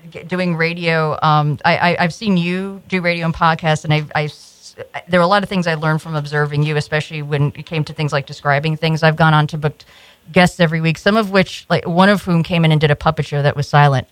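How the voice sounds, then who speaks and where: A woman from New York City hosts an internet radio show, chatting casually with guests. Her voice sits a little low, and she laughs easily between loose, rambling sentences full of fillers and restarts. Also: steady tone, brisk. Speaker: radio host. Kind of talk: casual studio chat.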